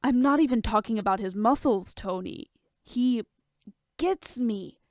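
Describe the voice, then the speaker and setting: Story role: sloshed, moody billionaire man, slow and emotional, acted by a woman. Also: a little high, a little mellow, no room sound, clean studio sound, natural voice. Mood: maudlin. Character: drunk, maudlin, belligerent male billionaire hero.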